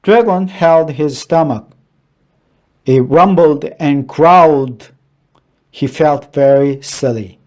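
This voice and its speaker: A man reading a children's picture book aloud in a deliberate, dramatic storytelling manner. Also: fairly high pitch, darkish tone.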